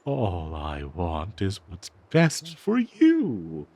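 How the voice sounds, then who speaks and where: A man acts out a cursed talking dagger, male, obsessively in love and thirsty for blood. The voice is low and unhurried, swinging widely in pitch as it dotes on the listener with theatrical menace. It is an intimate audio roleplay monologue, full of halting, savored phrases. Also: wildly swooping pitch, warm tone, breathy edge, dry recording, heavily acted. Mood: gleeful, lovesick. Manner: slow, theatrical, doting yet menacing, halting with hesitations.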